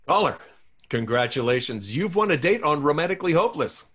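An eager, dorky middle-aged radio host played by a man, with a slightly high voice that moves around a lot. He pleads and enthuses like a hopeless romantic in a comedy. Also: big pitch swings, fairly quick, fairly bright tone, slightly rough, full character voice. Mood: eager, hopeful. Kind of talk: comedy script table read, playing a radio host.

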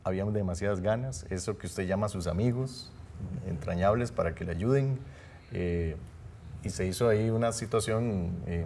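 A man's voice: low-pitched, dark tone, a little hiss or hum. A man in his thirties, a film director, talking earnestly in a studio interview, rambling with restarts.

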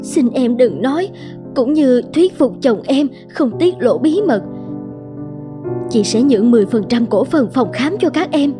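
A woman voicing an anxious, scheming adulterous wife in an animated drama, polite and pleading. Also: sits high, unhurried, music in the background. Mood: pleading, remorseful. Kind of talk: Vietnamese animated drama dubbing.

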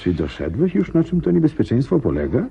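A very mellow, obliging companion. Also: male voice, mid-range voice, huge pitch swings, a little fast, vocal fry, muffled recording, a little hiss or hum. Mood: excited, curious. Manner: eager, obliging, puzzling things out aloud. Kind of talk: Polish radio drama acting.

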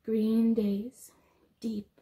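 A young woman reading a picture book aloud to small children, expressive and sing-song, explaining things simply as a teacher would. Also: a little high, extremely slow, slight background noise. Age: young adult. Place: small indoor room.

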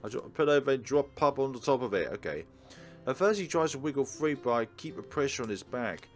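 A man's voice: mid-range voice, lively intonation, brisk. A man hosting a let's play, reading a visual novel aloud expressively and adding casual commentary. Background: soft game music.

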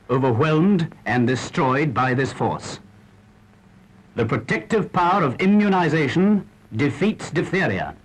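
Grave, husky male film narrator. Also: mid-range voice, poor audio. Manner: grave, urgent, emphatic. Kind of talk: 1940s public health film narration.

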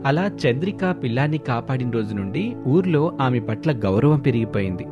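A man's voice: mid-range voice, very animated, a little fast, dull audio. A man narrating a scripted moral story as a storyteller. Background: background music.

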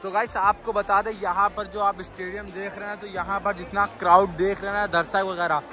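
A young man filming a live vlog from the stands of a packed outdoor cricket stadium at night. He holds his phone and talks excitedly to his viewers, calling them guys and brother. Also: high-pitched, a little fast. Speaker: vlogger. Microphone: phone camera held in hand. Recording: phone audio swamped by stadium crowd and music. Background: loud roaring crowd and blaring music.

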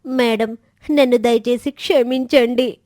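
A woman as a hot-tempered schoolboy, high-pitched. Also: fairly even intonation, a little slow, mellow, dry recording, studio quality, lightly acted. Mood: pleading, dejected. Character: hot-tempered, arrogant schoolboy, later remorseful. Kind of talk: acted dialogue in a children's moral story.

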